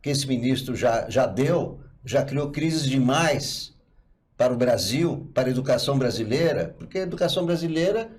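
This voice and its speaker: A man's voice: medium pitch, a little mellow. A man giving political commentary in a discussion, speaking emphatically and tagging his points with questions.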